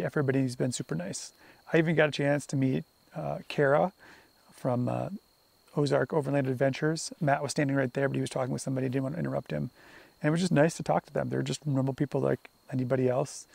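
A man in his forties talking casually to a camera held at arm's length at an outdoor campsite, relaxed and rambling with fillers and restarts. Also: medium pitch, vocal fry, dry recording. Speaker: vlogger. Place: outdoor campsite among pine trees.

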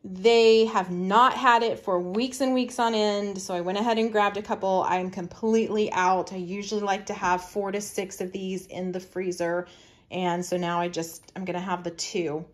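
A woman's voice: mid-range voice, fairly even intonation, normal speed. A middle-aged woman chatting casually through a grocery haul, explaining her family's meal plans in a homey way. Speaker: family lifestyle vlogger.

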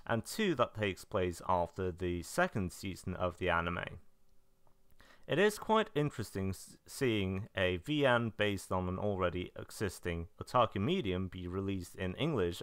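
A man narrating a semi-scripted review in a matter-of-fact way, with dry, deadpan asides. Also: deep voice, clear, resonant tone, dry recording. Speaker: video narrator reviewing game releases.

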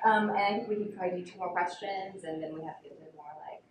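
A woman instructor talking casually and quickly to her class, with fillers. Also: lowish voice, background noise. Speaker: course instructor. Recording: very echoey room from far off.

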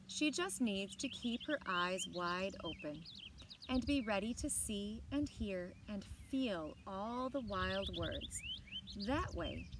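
A woman reading the part of an earnest, loving grandmother in a children's storybook, with gentle emphasis. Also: medium pitch, lively intonation, takes it slowly, noisy background. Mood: amused, encouraging.

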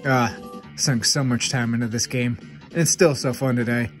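A man with a mid-range voice talks through game picks in a casual, upbeat way. He sounds a little stuffed up from congestion. Clear background music plays under his voice. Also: medium pitch, steady tone. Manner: upbeat, chatty, quick asides. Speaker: gaming channel host. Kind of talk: casual game recommendations to camera. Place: room with game shelves, indoors.

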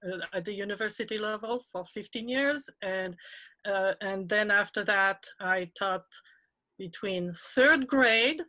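Slow bright-voiced French woman in her sixties. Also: medium pitch. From France. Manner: chatty, encouraging, laughs often. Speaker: retired teacher, workshop presenter. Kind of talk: professional development webinar talk. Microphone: video call.

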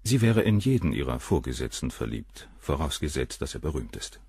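A proud professor of surgery in a crime radio play. His low voice rises and falls freely, dry and close. He speaks with composed, cold condescension. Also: very animated, fairly quick, darkish tone, slightly rough, no room sound, full character voice. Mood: cool, condescending. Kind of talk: German radio crime drama acting.

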